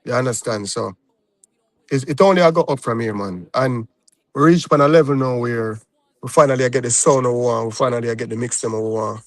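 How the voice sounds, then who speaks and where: A man's voice: mid-range voice, brisk, no room sound. A young adult man from Jamaica with a Jamaican accent, talking casually as an interview guest and often checking in with "you understand?". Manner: laid-back, peppers talk with "you understand?". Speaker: recording artist guest.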